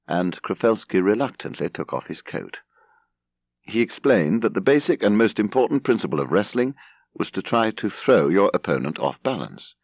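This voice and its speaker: Male audiobook narrator, English accent: low-pitched, gravelly, highly expressive storytelling, recorded dry. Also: no echo.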